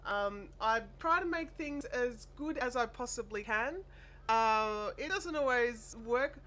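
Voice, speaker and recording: An Australian woman hosting a casual craft live stream, chatty and apologetic, her voice made deeper and nasal by a chest cold and sore throat. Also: slow pace, fairly bright tone. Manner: chatty, apologetic, reads viewer comments aloud. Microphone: desk microphone. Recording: faint air conditioner hum.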